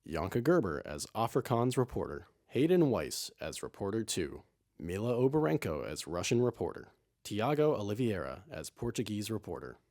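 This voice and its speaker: A man's voice: lowish voice, a little mellow. A man reading scripted end credits as a series announcer.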